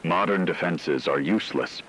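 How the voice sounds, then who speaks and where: A man playing a grave radio reporter, delivering scripted horror news in a solemn, measured, ominous way. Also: deep voice, a bit bright, poor audio.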